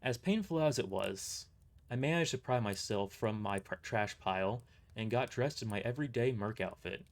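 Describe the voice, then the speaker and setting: A man narrating a first-person story aloud, reading a little haltingly and stumbling over words. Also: mid-range voice.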